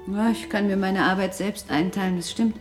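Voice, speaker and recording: An actress playing a polite translator making conversation in a radio drama. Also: female voice, medium pitch, steady tone, moderate pace, lightly acted. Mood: polite, conversational. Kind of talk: German radio drama acting. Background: faint music.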